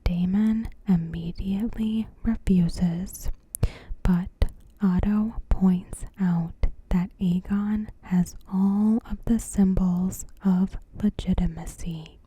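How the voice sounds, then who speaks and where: Very slow, breathy whispering ASMR woman. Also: medium pitch, steady tone, rough recording. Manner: soft, unhurried, careful. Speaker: ASMR creator. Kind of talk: ASMR, scripted episode recap narration.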